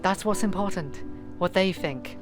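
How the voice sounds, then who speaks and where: A woman playing a stern, shrewd mother in a fantasy audio drama, speaking in a measured, persuasive way with dry affection. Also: medium pitch, lightly acted. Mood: dry, placating. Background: music.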